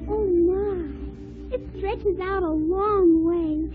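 A woman's voice: extremely high pitch, very slow, full character voice. A woman playing a polite, wide-eyed little girl in an old-time children's radio show. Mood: wondering, polite. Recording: muffled old radio recording, music behind.

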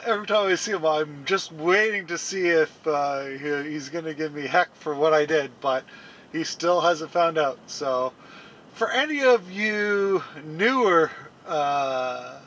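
A man's voice: a little high, fairly bright tone, muffled recording, slight background noise. Chuckling man vlogging from a winter tent. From Canada. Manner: chuckling, easygoing, rambling with many fillers. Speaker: camping vlogger. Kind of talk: casual vlog chat to camera. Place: small winter tent at night, first outdoors in snow. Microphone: handheld camera close up, then fixed camera a few feet away.

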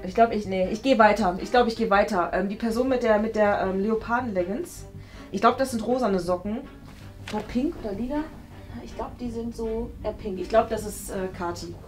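A woman's voice: mid-range voice, brisk. A young woman casually thinking aloud as she hosts and plays a guessing game, playful, hesitant and rambling. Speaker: host and contestant guessing in a game. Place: small indoor studio room. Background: background music.

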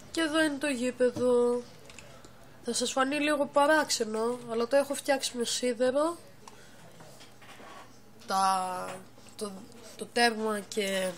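Μια γυναίκα με λίγο ψηλή φωνή ξεναγεί χαλαρά σε ένα ψηφιακό γήπεδο, κομπιάζει και διορθώνει συχνά τα λόγια της. Μιλά σε ένα σκοτεινό δωμάτιο δίπλα σε υπολογιστή. Η εγγραφή είναι από κινητό τηλέφωνο και έχει έντονο θόρυβο από πίσω. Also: female voice, a little high. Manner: halting, self-correcting, explains step by step. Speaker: game builder giving a tour. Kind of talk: casual tour of a game build. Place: dim room at home, by a computer. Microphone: phone camera filming the monitor. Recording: noisy home phone recording.

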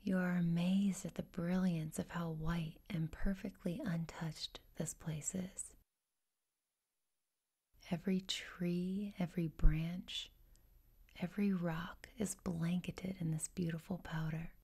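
A woman leading a guided meditation in a gentle, soothing, unhurried way, with a breathy, whispery, husky voice. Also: on the low side, steady tone. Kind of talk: guided meditation, soft instruction.

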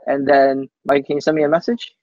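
A man giving a casual live software demo on a team call, speaking haltingly and often tacking "basically" onto sentences. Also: a little high, little pitch movement, dry recording. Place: coworking space, on a group video call. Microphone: video call microphone. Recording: muffled video call audio.

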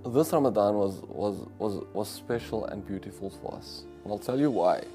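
A man's voice: lowish voice, expressive, normal speed, music in the background. A man in his forties with a South African accent, Afrikaans his first language, reflecting in an interview with many fillers. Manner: reflective, warm storytelling with frequent fillers. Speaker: diplomat. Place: living room, indoors. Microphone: lapel.

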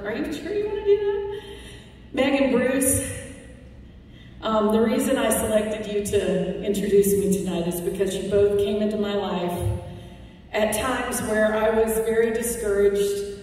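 A woman's voice: medium pitch, a little mellow, a little hiss or hum. A woman radio host giving a heartfelt award acceptance speech with wry jokes, speaking at a podium in a large banquet hall. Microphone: podium microphone, recorded from the audience. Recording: echoey hall recorded from far off.

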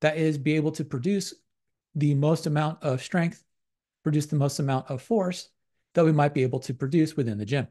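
Man, lecturer, slightly high voice, methodical, academic phrasing, slide voice-over. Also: a little fast, warm tone. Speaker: exercise science lecturer.

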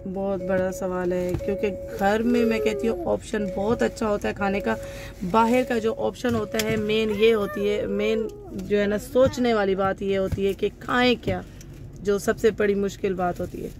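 A woman's voice: medium pitch, fairly even intonation, normal speed. A woman with a Pakistani accent chatting casually as a family vlogger into a phone. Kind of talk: casual vlog chat. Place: inside a car, restaurant and shop. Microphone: phone at arm's length. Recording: loud car and street background noise.

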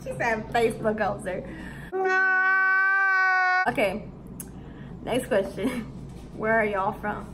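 A sixteen-year-old girl from Arizona, co-hosting a casual Q&A vlog at home, relaxed and giggly as she reads out questions. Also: mid-range voice, slow, pauses often, heavy background noise. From Arizona, USA. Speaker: co-host of a YouTube channel. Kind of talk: casual Q&A chat with a friend. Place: living room at home. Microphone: camera in front of two seated girls.

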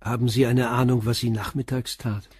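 Radio crime drama: male actor as a terse, persistent inspector, husky voice. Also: mid-range voice, flat delivery, a little fast, a little mellow, no echo, clean studio sound, natural voice. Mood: probing. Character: calm probing male police inspector. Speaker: police inspector. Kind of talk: radio play acting.

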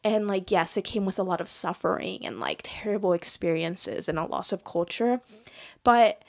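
A young woman from the United States hosting a podcast, speaking in a reflective, soft-spoken way with many fillers. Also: mid-range voice, moderate pace, vocal fry. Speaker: podcast host. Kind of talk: casual conversation.

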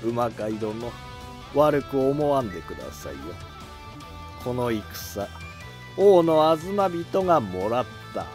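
A commanding old-school general of a historical drama, acted out by a man. He speaks slowly and weightily, composed and taunting, in theatrical phrases over music. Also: medium pitch, big pitch swings, a little mellow. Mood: confident, commanding. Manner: slow, weighty, theatrical delivery.

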